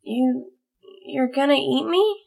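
A man puts on a very high, small voice for a young girl pegasus in a storybook. The filly is sweet, innocent and timid. The words come slowly and falteringly, with a creaky edge. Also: expressive, darkish tone, heavily acted. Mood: frightened, pleading.

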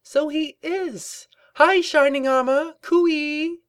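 A man's voice: high voice, big pitch swings, normal speed, voice pushed up. A man voicing a polite, genteel female pony, an airy and slightly hesitant colleague in a story reading. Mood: delighted.

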